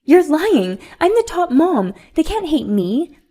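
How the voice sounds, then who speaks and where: A woman voicing a spoiled, bratty grown woman who talks in a loud, whiny, indignant way. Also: high-pitched, sing-song, takes it slowly, voice pushed up, exaggerated voice.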